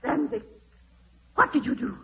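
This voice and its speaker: A woman's voice: unhurried, lightly acted. An actress playing a suspicious, anxious, embittered woman in a 1940s radio horror drama, speaking in an accusing, demanding way. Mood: alarmed, accusing. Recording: tinny old-time radio broadcast.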